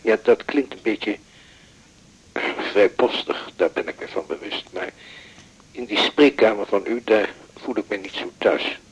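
A man's voice: fairly low pitch, a little slow, slightly rough, low-quality recording. An actor playing a reserved male patient, hesitant, formal and politely insistent, in a naturalistic radio drama. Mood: awkward, insistent.

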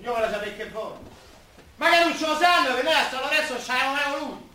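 Echoey, high-pitched Roman working man played by an actor. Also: lively intonation, quick pace, pushed and bright, full character voice. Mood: indignant, proud. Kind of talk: stage-like radio drama acting in dialect. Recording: distant, echoey room, noisy live recording.

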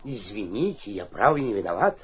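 An actor playing a wily, fawning, middle-aged provincial town governor, corrupt and fearful, with a husky voice in a theatrical radio play. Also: male voice, fairly low pitch, big pitch swings, brisk, a bit bright, exaggerated voice. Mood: deferential, nervous. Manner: fawning, swinging from pleading to sly muttering. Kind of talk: theatrical radio play acting with muttered asides.

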